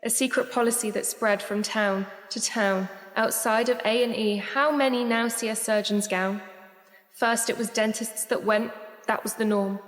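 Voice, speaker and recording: An actress as an earnest woman poet performing political verse in a radio play. Her voice is fairly high, deliberate and rhetorical. It rings out with long hall reverb. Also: close to an everyday voice. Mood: grave, accusing. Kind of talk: rhymed spoken-word poetry recital. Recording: echoey hall reverb with music.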